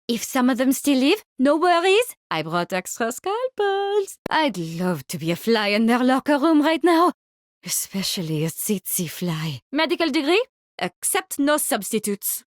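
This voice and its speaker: A woman's voice: high-pitched, no room sound, studio quality. An actress playing a playful, morbid woman doctor in a video game, theatrical and gleefully clinical. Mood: smug, pleased. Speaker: game character voice.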